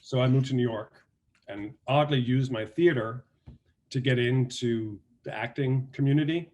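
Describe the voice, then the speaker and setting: Danish guy, mid-pitched, loose and chatty interview guest who restarts his thoughts a lot. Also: normal speed. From Denmark. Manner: relaxed storytelling with many restarts and fillers. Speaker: actor and guest. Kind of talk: casual interview talk.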